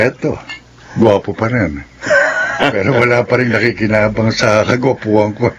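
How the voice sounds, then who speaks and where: A man's voice: on the low side, unhurried, noisy background, close to an everyday voice. An actor playing a jovial middle-aged widowed father in a radio drama, chatty and easygoing. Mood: jokey.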